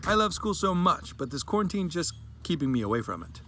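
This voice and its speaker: Man as a fed-up little girl, childlike, emphatic, repetitive, sad and earnest, dramatic story reading, clearly noisy background. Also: fairly high pitch, sing-song, a little fast, fairly bright tone, pitched above the performer's own voice. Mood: frustrated, pleading.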